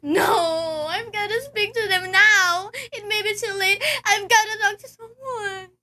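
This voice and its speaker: A woman's voice: very high-pitched, fairly even intonation, a little slow, a crowd in the background. A young woman playing a frantic, demanding, nervous married woman in a radio play, agitated, stammering and tearful. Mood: panicked, pleading. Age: teenager. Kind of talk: stage-like radio play acting.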